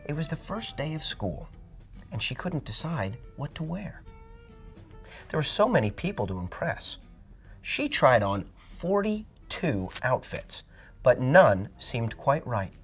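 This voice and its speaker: A man reading a children's storybook aloud in an expressive, dramatic way. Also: mid-range voice, takes it slowly, faint music behind. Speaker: storybook reader. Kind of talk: children's read-aloud narration.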